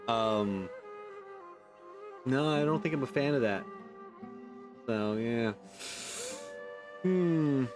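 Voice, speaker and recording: A man doing casual let's play commentary, thinking aloud informally and reading game text out as he goes. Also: mid-range voice. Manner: informal, rambling, thinks aloud, mild swearing. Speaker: video game streamer.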